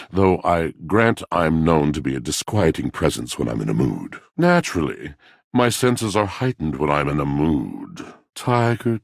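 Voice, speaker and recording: An actor playing a brooding, lovelorn, poetic washing machine in a video game, speaking in florid, grandiose, theatrical phrases with a gravelly, husky voice. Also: male voice, extremely low pitch, dry recording, studio quality, exaggerated voice.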